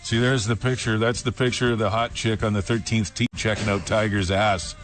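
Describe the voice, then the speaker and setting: A man's voice: on the low side, level tone, a little fast, fairly bright tone, soft background music. A man chatting casually and telling anecdotes in a crude, joking manner.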